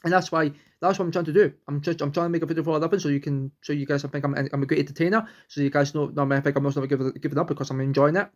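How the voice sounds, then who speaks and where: A man's voice: a little high, fairly even intonation, rapid-fire, hardly any pauses. A young man, vlogging at home, rambling earnestly and restarting sentences as he searches for words. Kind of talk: rambling personal vlog.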